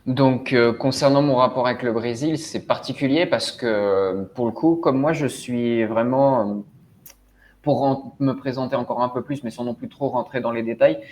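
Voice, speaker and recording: Co-host, male, mid pitch, easy conversational delivery, long meandering questions, light background hiss. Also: moderate pace, a little mellow. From Charente-Maritime, France. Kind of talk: casual discussion.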